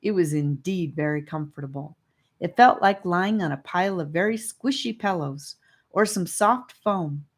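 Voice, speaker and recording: A woman's voice: fairly low pitch, normal speed, breathy edge. A woman reading scripted narration of a children's fantasy audiobook.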